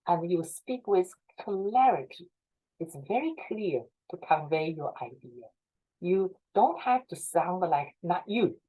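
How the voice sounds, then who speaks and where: A woman's voice: mid-range voice, husky, phone-quality sound. A Chinese-born woman professor with a Chinese accent, native in Chinese, answering student questions over a video call in an encouraging way, often restarting phrases. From China. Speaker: visiting professor.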